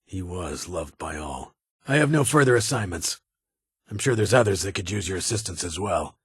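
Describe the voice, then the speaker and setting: An actor playing a stern, weary security chief in a video game, with a husky voice and a formal, clipped, authoritative manner. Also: male voice, low voice, animated, moderate pace, no room sound, studio quality, heavily acted. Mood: formal, businesslike. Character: stern, weary male vault security chief, guarded but honorable.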